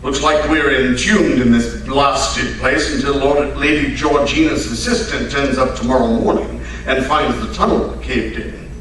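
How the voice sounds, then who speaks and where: A man's voice: mid-range voice, steady tone, measured pace, hardly any pauses, fairly bright tone, close to an everyday voice. Man acting a blustery doctor sidekick, echoey hall. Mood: grumbling. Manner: indignant, exclamatory, old-fashioned British expressions. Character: blustery, loyal gentleman doctor, bewildered sidekick. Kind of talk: old-time radio mystery acting. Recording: very echoey hall, distant mic, faint noise.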